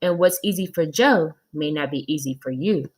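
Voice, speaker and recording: A woman voicing a female schoolteacher in a children's storybook, patient but firm, correcting and instructing in a measured manner. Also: deep voice. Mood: instructive. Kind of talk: children's storybook read-aloud.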